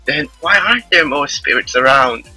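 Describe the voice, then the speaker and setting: A man's voice: fairly high pitch, lively intonation, moderate pace, sharp tone, rough recording. A man voicing a flustered, guarded young spirit girl in a live visual novel dub, with a prim, questioning delivery. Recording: music playing behind the voice.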